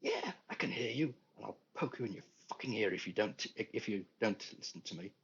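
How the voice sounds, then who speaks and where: A man's voice: mid-range voice, fairly even intonation, brisk, a little mellow, lo-fi sound, slight background noise, pitched above the performer's own voice, natural voice. A man voicing a confused, angry patient waking in a hospital bed, sarcastic and then frantic.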